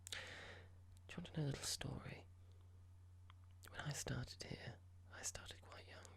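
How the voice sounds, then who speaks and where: A performer playing a horrible, condescending young corporate boss in an ASMR roleplay, speaking in a breathy whisper with a measured, pompous, formal manner. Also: medium pitch, a bit bright, full character voice. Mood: condescending, self-satisfied. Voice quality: breathy, gravelly whisper with clicks.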